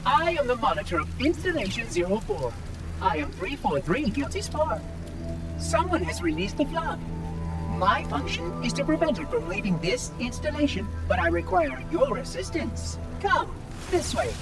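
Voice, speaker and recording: An actor voicing a prim, fussy ancient machine caretaker in a video game cutscene, speaking in a polite, precise and formal way. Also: male voice, very high voice, sing-song, normal speed. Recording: distant voice over loud music.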